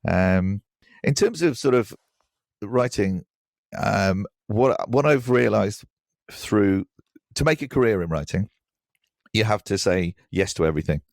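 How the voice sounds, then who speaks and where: Male interview guest: low register with creaky fry, hesitant and self-deprecating, reflective conversational delivery. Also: animated, a little slow, long pauses, a little mellow, dry recording, clean studio sound. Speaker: podcast guest, writer.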